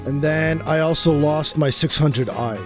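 A man casually chatting while playing a space game, easily excited and talkative. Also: fairly high pitch, unhurried, over music. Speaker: gaming channel host. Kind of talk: casual gameplay chat.

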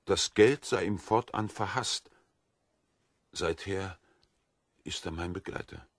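Low, husky, gentle wandering prisoner. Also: male voice, slow, no echo. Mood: gentle, recounting. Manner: earnest, plain-spoken. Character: gentle, earnest, naive wandering male prisoner preacher. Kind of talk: audio drama acting.

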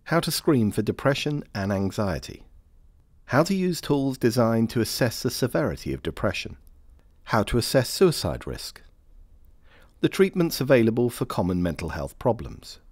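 A man reading scripted informational narration for a training film in a measured, formal manner. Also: medium pitch, very animated, dry recording. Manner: measured, formal, clearly phrased.

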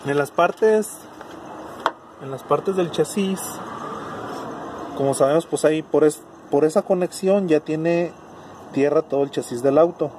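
Hombre mexicano explicando reparación de auto, voz algo aguda, ruido de fondo leve. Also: male voice, on the high side, measured pace, pauses often, background noise. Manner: casual, thinking aloud with restarts. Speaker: car electronics tutor. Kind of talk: hands-on repair demonstration.

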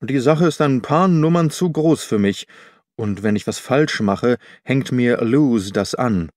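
A stolid country constable, voiced by a male narrator, speaks slowly and plainly, unsure of himself. It is an audiobook character in a dry studio recording. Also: mid-range voice, expressive, darkish tone, no room sound, lightly acted. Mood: worried, deferential. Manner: slow, heavy, plain-spoken.

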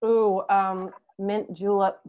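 A young woman chatting casually as a guest, in an informal, halting way. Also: mid-range voice, flat delivery. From Canada. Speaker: band musician, interview guest. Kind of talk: casual chat. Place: home room, video call. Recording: dull lo-fi video-call audio.

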